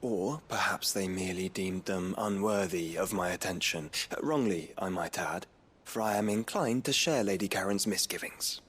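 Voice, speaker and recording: Low-voiced courtly nobleman. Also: moderate pace, a bit bright, breathy edge. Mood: concerned. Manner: formal, courteous, thoughtful. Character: courtly, earnest nobleman of a fantasy realm. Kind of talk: dramatic video game acting.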